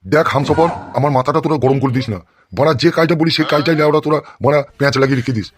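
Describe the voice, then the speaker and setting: A man's voice: a little high, rapid-fire, slight background noise, close to an everyday voice. A man voicing a crude, bullying, lecherous gangster politician in a comic cartoon, blustering and scolding. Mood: irritated, scolding. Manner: blustering, scolding, constantly swearing.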